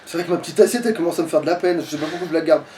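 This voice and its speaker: A man with a distinctly high voice banters as he plays a game. He grumbles in crude, playful complaints and repeats himself, heard a little away from the mic over faint noise. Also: racing, mellow, recorded from a distance. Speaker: let's play player commentating. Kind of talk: casual gaming banter.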